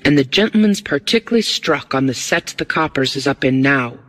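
A man's voice: medium pitch, lively intonation, fairly quick, a bit bright, lightly acted. A man voicing a glib, eager porter in an audiobook, with a working-class London accent and a confiding, deferential manner.

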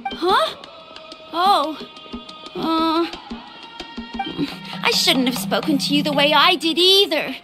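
A woman's voice: high voice, expressive, slow, long pauses. A woman voicing an earnest, loyal young village boy in a video game, sounding youthful and plaintive. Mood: sheepish. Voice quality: youthful, boyish. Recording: game music behind.

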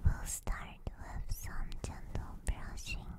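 A woman doing soft ASMR chat as a streamer, gently whispering in a breathy voice close to a binaural microphone. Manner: gentle, slow, unhurried.

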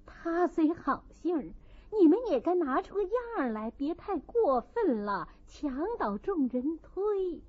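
A kind, loyal maid with firm feeling, played by a woman reader talks in a high voice. It is audiobook acting, heard through a thin, phone-like recording. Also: big pitch swings, measured pace. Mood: indignant scolding. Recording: muffled, thin phone-like sound.

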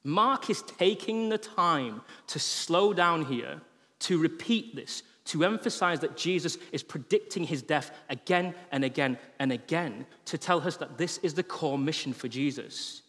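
A man's voice: a little high, unhurried. Man preaching in a reverberant church hall. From England. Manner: conversational and humorous, with animated gestures. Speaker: pastor. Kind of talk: sermon. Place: large church hall, on stage. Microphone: headset mic.

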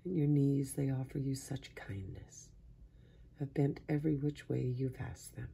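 A man softly guiding a sleep meditation in a hushed, breathy voice that often sinks into a whisper, slow and soothing with long pauses. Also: medium pitch, fairly even intonation. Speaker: meditation guide. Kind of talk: guided sleep meditation, soft-spoken.

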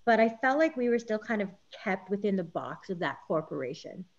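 A woman journalist on a panel over a video call, reflective and halting, with many fillers. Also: medium pitch, sing-song, dull audio. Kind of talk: panel discussion.

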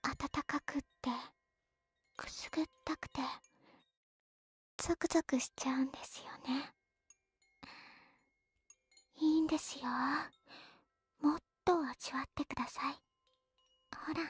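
Gentle, high whispering ear-cleaning girl. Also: fairly even intonation, takes it slowly, pauses often, no room sound, soft background music. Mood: teasing, tender. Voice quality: soft breathy whisper. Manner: gentle, patient, instructive. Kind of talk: Japanese ASMR roleplay.

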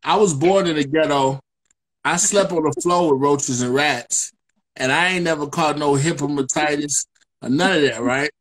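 A man's voice: on the high side, moderate pace, fairly bright tone, poor audio. A man in his forties co-hosting a casual live video chat from home, joking and teasing in slangy banter, on a laptop mic. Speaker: co-host. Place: indoors at home. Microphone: laptop camera on video call.

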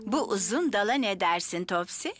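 A woman's voice: mid-range voice, lively intonation, moderate pace, fairly bright tone, soft background music, natural voice. A woman playing a kindly, cheerful older grandmother who speaks soothingly to small children in a dubbed children's drama. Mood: bright, encouraging. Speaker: grandmother character.

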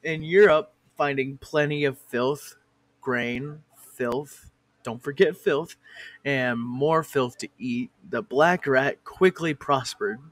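Slow, mock-educational parody narrator. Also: male voice, mid-range voice, no echo. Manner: mock-educational, swears and breaks off to argue with a director. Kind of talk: comic fan parody narration.

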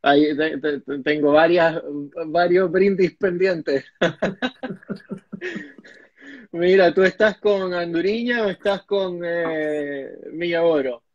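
A man's voice: fairly high pitch, slow pace, dry recording. A young adult man interviewing a guest on a video call, polite, often repeating and stumbling over his words. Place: home office with boxes behind. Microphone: video call, webcam. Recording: dry, dull lo-fi call audio.

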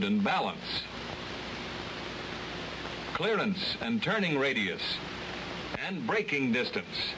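A hissy, noisy old film soundtrack carries an American man narrating a vintage training film. His voice sits a little high and moves with lively intonation. He reads his script in a measured, instructional way, with every word clearly enunciated. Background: loud hiss.

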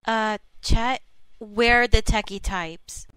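Woman playing friendly inquisitive young scientist, bright crisp voice, slightly high, dry sound, comic dub. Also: takes it slowly, no room sound. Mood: puzzled. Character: friendly, inquisitive young female lab worker. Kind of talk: amateur comic dub acting.

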